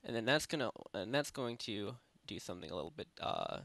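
A man narrating a software tutorial in a casual, unscripted, rambling way, speaking informally with lots of filler phrases. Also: on the low side, very animated, brisk, vocal fry, no room sound. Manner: rambling, informal, repeats "basically" and "you know". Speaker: tutorial presenter. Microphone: close headset microphone.

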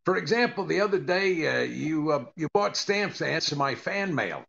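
An older man playing a dry, put-upon comedian husband in a 1940s radio comedy, deadpan and hesitant, with a gravelly voice. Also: on the high side, normal speed, muffled recording, heavily acted. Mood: dry, patient.

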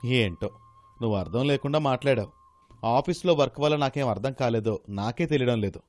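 A man's voice: mid-range voice, a little fast, a little mellow, natural voice. A man playing a puzzled young husband in a story, plain-spoken and mildly grumbling. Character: hungry, puzzled young husband home from office.

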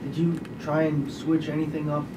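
A man's voice: a little high, completely flat delivery, normal speed. A man reporter asking a coach a question at a press conference. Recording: distant mic with room noise.

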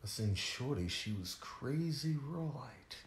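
A man playing a smooth-talking young ladies' man, reciting comic rhymes in hushed, suave patter. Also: medium pitch, animated, slow, reverberant, a little hiss or hum. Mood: suave, boastful.

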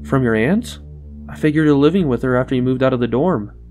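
A man's voice: medium pitch, brisk, darkish tone, close to an everyday voice. Man acting anxious, hesitant young man, music behind. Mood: guarded. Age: young adult. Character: anxious, doubtful young man haunted by loss. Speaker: voice actor in a comic dub. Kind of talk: dramatic dialogue and inner narration.

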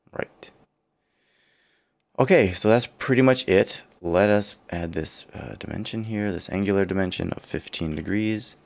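Deep, gravelly male tutor with a worn-out voice, calm self-correcting software walkthrough. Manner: thinking aloud, self-correcting. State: partly lost voice, low and rough. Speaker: CAD tutorial instructor. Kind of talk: screen-recorded software walkthrough.

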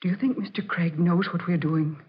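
Hard-edged nurse, husky voice, cool, pointed, muffled vintage radio recording. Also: female voice, lowish voice, fairly even intonation, brisk. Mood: cool, demanding. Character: greedy, hard-edged female private nurse and accomplice. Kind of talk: 1940s radio acting.

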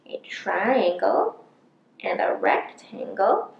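Woman as little picture-book mouse, eager, playful, emphatic, creaky edge, roomy sound. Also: medium pitch, lo-fi sound, slight background noise, full character voice. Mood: explaining. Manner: emphatic, expressive, drawn-out words. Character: small storybook mice, eager, clever and playful. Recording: roomy indoor echo.

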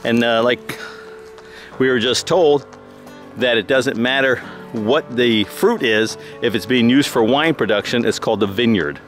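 A man's voice: mid-range voice, unhurried. A man films a casual travel vlog outdoors, talking from behind the camera. He is chatty and likes to tease and joke. Music plays behind his voice. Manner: jokey, teasing, chatty. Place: outdoors at a winery patio and park trail. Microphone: handheld camera mic.

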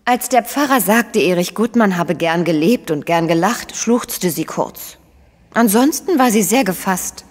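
A woman's voice: mid-range voice, lively intonation, measured pace, long reverb tail. An actress playing an investigator's frank, sceptical female partner in a crime radio drama. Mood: subdued, uneasy.

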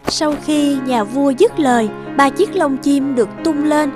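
A woman's voice: high voice, a little slow, music in the background. A woman reading scripted fairy-tale narration as the narrator.

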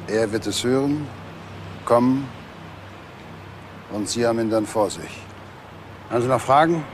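A man's voice: mid-range voice, measured pace, noisy background, lightly acted. An actor playing a cool, businesslike man arranging a break-in, speaking in clipped, methodical phrases in a German crime drama. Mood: cool, instructing.

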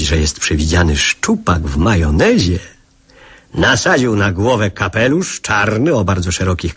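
An actor playing a man recalling his childhood, narrating a radio drama in a measured, literary way with quiet irony. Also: low-pitched, husky, exaggerated voice. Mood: wry.

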